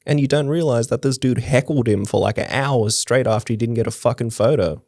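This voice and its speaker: A man's voice: medium pitch, fairly quick, a little mellow, dry recording, clean studio sound. A man co-hosting a casual podcast, telling anecdotes in a laid-back way with casual swearing. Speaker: podcast co-host. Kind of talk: casual chat.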